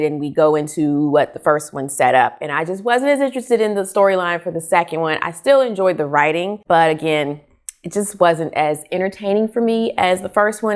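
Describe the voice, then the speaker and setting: A woman chatting casually to camera as a book reviewer, expressive and emphatic in a conversational way. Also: deep voice. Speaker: book vlogger, host. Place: small furnished living room, indoors.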